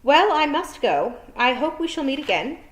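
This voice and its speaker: A woman voicing a sharp, dry, teasing witch who lectures a child. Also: high voice, sing-song, normal speed, pitched above the performer's own voice. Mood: arch. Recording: echoey room.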